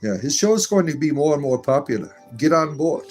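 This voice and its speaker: A man's voice: on the high side, big pitch swings, a little fast, a little mellow, soft background music. A man hosting a live stream, reading viewer comments aloud and answering them in a meandering, chatty way. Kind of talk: casual live-stream chat.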